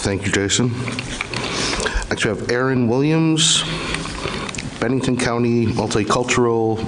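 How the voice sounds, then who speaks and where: A man's voice: medium pitch, a little slow. A man chairing a town board meeting who calls each speaker in a brief, procedural way. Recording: distant mic in a noisy meeting recording.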